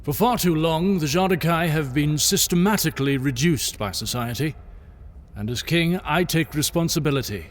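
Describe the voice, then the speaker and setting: A man's voice: a little high, moderate pace, slight background noise, close to an everyday voice. A man playing a benevolent king who gives a solemn, earnest speech to refugees. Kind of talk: audio drama acting.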